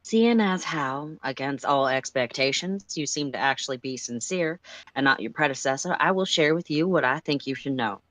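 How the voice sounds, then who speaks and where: A woman voicing a stern, guarded alien character with a deliberate, deadpan manner in a story reading. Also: very deep voice, no room sound. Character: stern, guarded, dry-witted male alien computer character.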